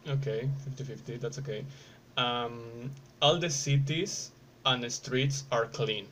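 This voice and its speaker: Casting: male interviewer, non-native English, mid-range voice, slow and halting delivery, casual tone, faint ambient noise behind him. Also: mid-range voice, fairly bright tone. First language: not English. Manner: halting, searching for words.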